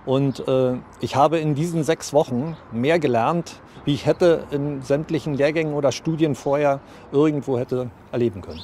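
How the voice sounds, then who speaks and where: Male police spokesman, fifties, medium pitch, light street noise. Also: normal speed, few pauses, dark tone. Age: 50s. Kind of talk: interview recollection. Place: outdoors by a building. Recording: faint outdoor street noise.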